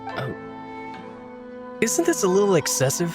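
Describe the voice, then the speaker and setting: Anxious informant over music. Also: male voice, sits high, wildly swooping pitch, a little slow, full character voice. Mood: polite, wary. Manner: worried, politely probing. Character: anxious, polite male informant loyal to his master. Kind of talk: scripted video game dialogue. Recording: prominent game music behind the voice.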